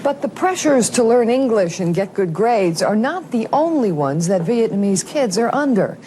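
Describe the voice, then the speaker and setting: A woman's voice: on the high side, very animated, normal speed, noisy background. A woman working as a television news reporter, interviewing and narrating in a broadcast style.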